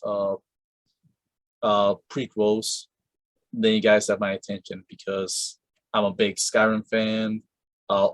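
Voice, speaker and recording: A man's voice: lowish voice, no echo, low-quality recording. A man hosting an interview podcast, chatting casually and rambling with many fillers and restarts. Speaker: podcast host interviewing a voice actress.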